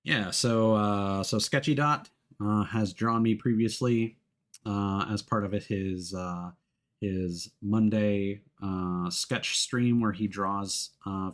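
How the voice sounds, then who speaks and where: A man chatting casually to viewers on a livestream in an easygoing, rambling way. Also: lowish voice. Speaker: VTuber livestreamer. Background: music.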